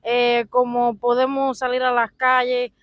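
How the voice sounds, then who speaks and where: A woman's voice: fairly high pitch, monotone, measured pace, fairly bright tone, no echo, clean studio sound. A woman with a Cuban accent answering questions as a street interviewee. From Cuba.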